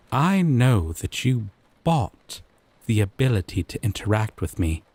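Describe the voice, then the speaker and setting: Slow, husky, obsessive lovestruck actor as game boyfriend. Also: male voice, mid-range voice, heavily acted. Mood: wistful, knowing. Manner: slow, lingering, deliberate pauses, breathy soft chuckles. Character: gentle obsessive lovestruck male dating-sim character, teasing and possessive. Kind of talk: intimate audio roleplay monologue.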